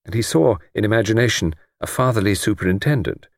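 A man's voice: fairly low pitch, fast talker, no room sound, clean studio sound. A man with an English accent reading literary fiction as an audiobook narrator. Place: dry studio.

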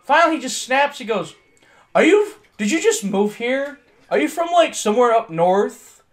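A man's voice: extremely high pitch, sing-song, normal speed. A young man with a Southern accent, casually telling stories as a podcast co-host, swearing, laughing and acting out his customers. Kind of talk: casual storytelling chat.

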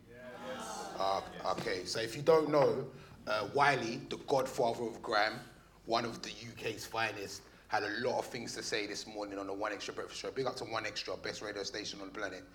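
A man hosting a podcast live on stage, chatty and laughing, with loud bursts to the audience. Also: mid-range voice, noisy background. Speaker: podcast host.

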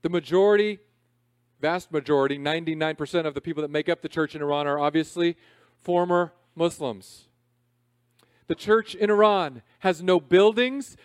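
A man in his thirties preaching a sermon in a conversational, self-deprecating way, swinging between loud emphasis and quiet asides. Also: high voice, clear, resonant tone, no echo, studio quality. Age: 30s. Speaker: pastor.

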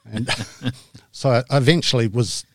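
A man's voice: mid-range voice, fairly even intonation, a little slow, no room sound, clean studio sound. Hesitant middle-aged New Zealand man. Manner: hesitant, many ums, self-deprecating. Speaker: guest, media director. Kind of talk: casual interview talk.

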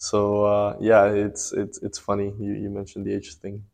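Flat-toned young man, calm guest. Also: lowish voice, moderate pace, gravelly. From Ecuador and Miami. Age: 20s. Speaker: guest, race car driver. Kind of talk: interview answers.

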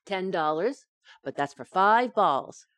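A woman acts out a scatterbrained young wife in a radio sitcom. She coaxes with wide-eyed innocence and breezy comic timing. Her pitch swings in a lively way, and the sound is dry. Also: takes it slowly, no room sound. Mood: breezy, confiding. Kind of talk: old-time radio sitcom acting.

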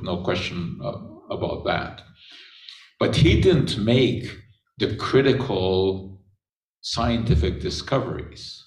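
A man giving an unscripted science talk, unhurried, with frequent pauses and filler words. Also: fairly low pitch. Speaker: chemistry lecturer. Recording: echoey room, voice from a distance.

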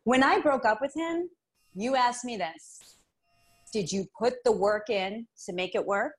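An actress playing a candid, encouraging, supportive longtime best friend in radio drama dialogue. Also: female voice, fairly high pitch, a little slow, husky. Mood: confessional.

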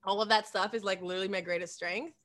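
A woman's voice: medium pitch, steady tone, fast, fairly bright tone. A woman in her twenties from Des Moines, a council candidate telling her story in a chatty way full of "like" and laughter. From Des Moines, Iowa. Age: 20s. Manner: chatty, laughs often, many "like" fillers. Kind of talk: casual interview answers.

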